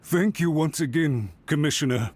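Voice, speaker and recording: A man's voice: on the high side, moderate pace, darkish tone, lightly acted. An actor playing a meek male servant in an anime game, nervous, apologetic and deferential, speaking with hesitant submission. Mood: cowed, deferential. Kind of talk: scripted anime game voice acting.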